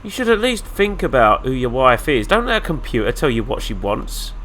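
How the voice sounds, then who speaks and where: A man's voice: mid-range voice, sing-song, fairly quick, a bit bright, poor audio. A man with a nasal, flu-stuffed voice, giving casual let's-play commentary with dry, sarcastic asides. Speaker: gaming YouTuber.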